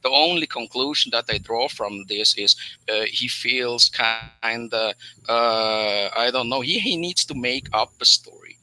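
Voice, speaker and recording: Bright-voiced man ranting, stammering. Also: medium pitch, measured pace, low-quality recording. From Switzerland. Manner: ranting, profane, stammering repeats. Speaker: livestream guest. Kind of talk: heated livestream argument.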